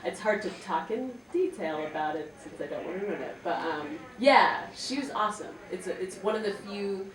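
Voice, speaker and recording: A woman's voice: medium pitch, lively intonation, normal speed, hardly any pauses. A woman voice actress and singer chatting on a convention panel, bubbly and peppered with "like". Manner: bubbly, lots of "like", laughs between words. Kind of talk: casual panel talk. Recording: echoey hall, distant mic, noisy.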